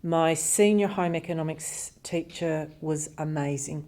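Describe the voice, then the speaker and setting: A woman's voice: low voice, unhurried, dark tone. A woman home economist speaking admiringly in an interview answer.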